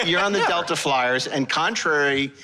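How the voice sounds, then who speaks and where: Bright-voiced man hosting a conversational interview. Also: on the high side, moderate pace, low-quality recording. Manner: teasing, jokey. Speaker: podcast host.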